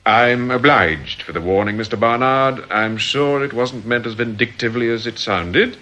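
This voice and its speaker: An actor playing a genial, piously courteous English boss of ex-convict swindlers in a radio drama, suave and measured. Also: male voice, mid-range voice, pushed and bright, lo-fi sound, natural voice. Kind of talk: British radio drama acting. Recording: faintly noisy radio drama recording.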